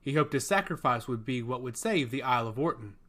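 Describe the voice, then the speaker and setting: A man's voice: medium pitch, expressive, fairly quick, pushed and bright. A man reading scripted fantasy fiction aloud as a storyteller. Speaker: audiobook narrator.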